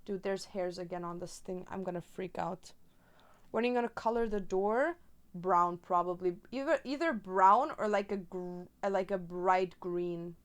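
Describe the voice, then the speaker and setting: A woman's voice: on the low side, normal speed. A young woman from Germany, a native Spanish speaker, chatting casually and rambling with lots of fillers while she crafts on stream.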